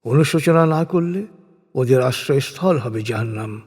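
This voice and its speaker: A man's fairly high voice carries through a long reverb. He narrates scripture in translation with solemn formality. His phrasing is measured, each phrase settling downward at its end. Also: a little mellow, slightly rough.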